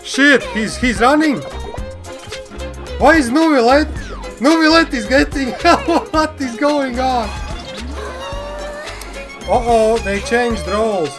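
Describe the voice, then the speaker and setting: High-voiced man reacting over music. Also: slow, pauses often. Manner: excitable, exclamatory, repeats phrases. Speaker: reaction video host. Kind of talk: casual off-the-cuff reaction commentary. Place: indoor room. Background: game trailer music.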